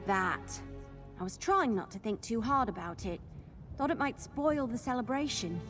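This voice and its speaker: High-voiced, chatty adventurer gal. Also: female voice. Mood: uneasy, wry. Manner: casual, chatty, wry. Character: friendly, plain-spoken young female adventurer ally. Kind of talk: video game character acting.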